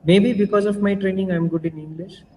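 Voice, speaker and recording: Fairly high-voiced man. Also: fairly even intonation, fairly quick, darkish tone, in a large hall, a little hiss or hum. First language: Kannada. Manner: thoughtful, explanatory, searches for words. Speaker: panelist. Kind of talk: virtual panel discussion. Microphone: video call.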